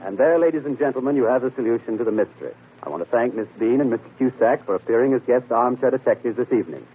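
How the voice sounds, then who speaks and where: A man's voice: mid-range voice, lively intonation, quick pace, a little hiss or hum, natural voice. A man playing a confident, methodical amateur detective in 1940s radio mystery style, explaining deductions by posing and answering questions. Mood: courteous hosting. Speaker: detective and show host. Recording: muffled, lo-fi vintage radio broadcast.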